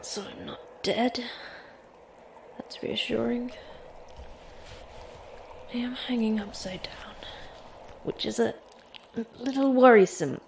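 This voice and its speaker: An actress playing an injured, terse manager, deadpan and clipped through labored breathing, talking to herself in a horror audio drama. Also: female voice, on the high side, slow pace, vocal fry, pauses often, a little hiss or hum. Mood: pained, methodical. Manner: clipped, deadpan, listing to self. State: hurt, labored breathing, sighing. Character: injured, terse, darkly sardonic manager fighting to stay focused.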